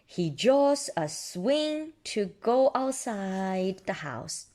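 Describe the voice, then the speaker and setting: Slow, very animated woman reading picture books. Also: mid-range voice. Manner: expressive, deliberate delivery for toddlers. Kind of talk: children's read-aloud narration with ad-libbed asides.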